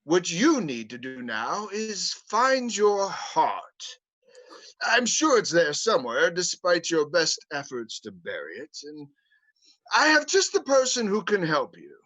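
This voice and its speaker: A man plays a pompous doctor at a mental hospital, with a voice that swings widely in pitch. He paces his words out slowly and halts on fillers. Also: huge pitch swings, bright, forceful tone, full character voice, poor audio. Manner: hesitant, stumbling over fillers. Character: pompous male hospital psychiatrist called the Great Oz. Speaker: play reader. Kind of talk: livestream script reading. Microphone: home mic on a livestream.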